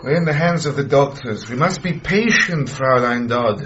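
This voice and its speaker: An actor playing a suave German officer with a German accent and a formal, over-polite manner that hides menace, in a radio drama. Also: male voice, medium pitch, expressive, normal speed, a bit bright, dull audio, slight background noise, lightly acted. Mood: coolly menacing.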